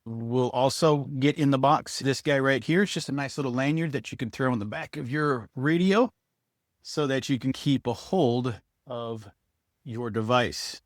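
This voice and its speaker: A man talks informally to viewers as a product reviewer. His voice sits at an average male pitch, and he thinks aloud while handling the item. The sound is dry, with very little room in it. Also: normal speed, no echo. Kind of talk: casual hands-on product review, speaking to viewers.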